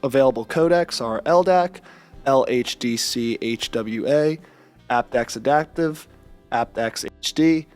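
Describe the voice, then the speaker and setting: A young man with a congested, stuffy voice, briskly presenting an upbeat scripted audio product review. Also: mid-range voice, clear, resonant tone, faint music behind.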